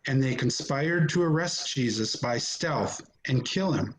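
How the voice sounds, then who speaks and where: A man's voice: mid-range voice, animated, unhurried, a little hiss or hum, low-quality recording. A man narrating scripture from a script, recorded at home over a video call.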